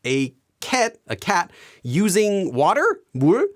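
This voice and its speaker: A man teaching Korean on his video channel, explaining things clearly and laughing often. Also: high voice, huge pitch swings, unhurried. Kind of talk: educational video commentary.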